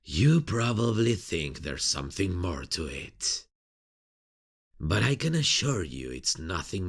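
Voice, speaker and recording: A man playing a sadistic, playful serial killer in an ASMR roleplay, mocking and teasing in a husky, gravelly voice with drawn-out delivery. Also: sits low, fairly bright tone, exaggerated voice.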